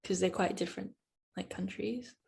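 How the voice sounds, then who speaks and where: Lady interviewer, keeps saying cool cool, bit of vocal fry. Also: medium pitch, normal speed, a little mellow, a little hiss or hum. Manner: encouraging, repeats short replies like "cool, cool". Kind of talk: casual chat.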